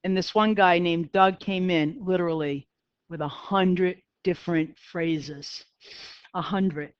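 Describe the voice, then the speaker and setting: A woman's voice: on the low side, steady tone, slow, clear, resonant tone, dry recording, muffled recording. A middle-aged woman with a Boston accent, teaching a math class in a chatty, self-deprecating way and talking straight to her students. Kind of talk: classroom lecture.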